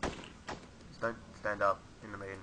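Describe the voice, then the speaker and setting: A man's voice: fairly low pitch, level tone, slow, pushed and bright, rough recording. A man talking casually while gaming with a friend, brash and crude, joking around. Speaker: gamer.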